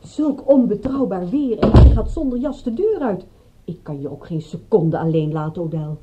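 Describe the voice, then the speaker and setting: An actress playing a detective's chatty partner in a radio play, breezy, fussing and playfully scolding. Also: female voice, mid-range voice, animated, normal speed, dull audio, very noisy, close to an everyday voice. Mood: teasing, fussing. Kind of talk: Dutch radio drama acting.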